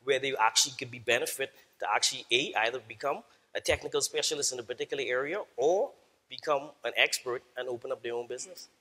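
A Bahamian man speaking casually on a conference panel from the stage. His voice is bright and of middling pitch, and his talk is loose and full of fillers. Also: fast talker, husky. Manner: casual, frequent "uh" and "um". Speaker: panelist, company founder. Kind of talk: panel presentation.

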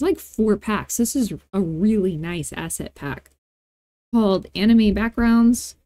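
Mellow-voiced woman, casual tutorial host. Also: mid-range voice, no room sound. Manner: informal, chatty, playful asides. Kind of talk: casual software tutorial screencast.

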